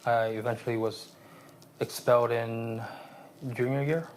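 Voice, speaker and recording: A man in his late twenties from Vietnam, raised in San Jose, speaking as an interview guest in a halting, reflective way with many restarts. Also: mid-range voice, steady tone, slight background noise, rough recording. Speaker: guest, aspiring therapist.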